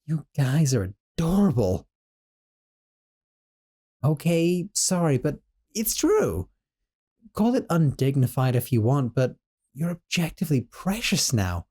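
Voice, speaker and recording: Man voicing a young male sorcerer roommate, doting, gentle, flustered, warm mellow tone, lively pitch, audio roleplay. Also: slow pace, pauses often, breathy edge, exaggerated voice. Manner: soft, doting, a little flustered.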